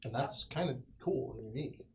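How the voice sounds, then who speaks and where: Man, lively varied intonation, casual tabletop roleplay chatter, faint noise behind. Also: medium pitch, brisk. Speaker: player in a tabletop roleplaying game. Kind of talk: casual in-character roleplay banter.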